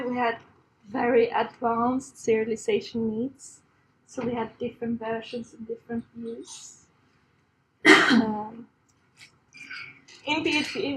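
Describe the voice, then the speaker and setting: A woman's voice: on the high side, slight background noise, poor audio. A woman in her thirties from Sweden with a Swedish accent, giving a chatty, self-deprecating conference talk with frequent laughs. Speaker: conference speaker. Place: conference room on a stage.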